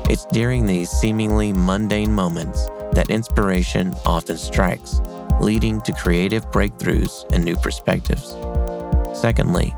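A man's voice: low voice, gravelly. A man reading a scripted summary as a podcast host. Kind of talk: scripted narration. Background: music.

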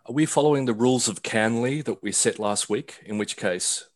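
A man with a booming voice, chatting and laughing in relaxed podcast banter. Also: normal speed. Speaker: podcast co-host. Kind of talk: casual banter among friends.

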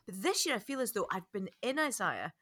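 A woman's voice: mid-range voice, sing-song, fairly quick, a bit bright, breathy edge. A woman hosting a podcast discussion in a casual, conversational style. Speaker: podcast host.

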